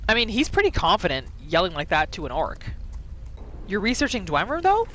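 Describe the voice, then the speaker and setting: A woman narrating a roleplay game as its host, casual and expressive, reacting aloud as she plays. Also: medium pitch, a little fast, a little hiss or hum. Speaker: gaming video host. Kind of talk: casual roleplay gameplay commentary. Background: game ambience.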